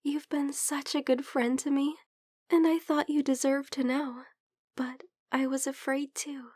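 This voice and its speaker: Woman as gentle sick vampire friend for ASMR roleplay: high, soft voice, slow delivery, teasing yet hesitant. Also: level tone, dry recording, clean studio sound. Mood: hesitant, confessing.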